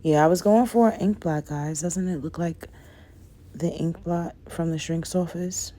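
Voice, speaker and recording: Young woman, low voice, mellow tone, raspy from a cold, rambling casual chat. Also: fairly even intonation, unhurried, faint music behind. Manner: rambling, says "like" and "guys" a lot. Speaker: nail vlogger. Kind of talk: casual chatty tutorial voice-over.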